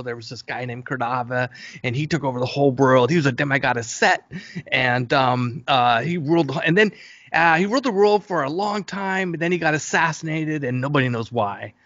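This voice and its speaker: A man with a noticeably creaky, gravelly voice speaks as a guest in a casual interview. He is enthusiastic but halting, circling back as he tells his stories. The sound is dry and somewhat dull and lo-fi. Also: a little high, a bit bright, no echo. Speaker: interview guest, game creator. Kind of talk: casual interview talk, storytelling.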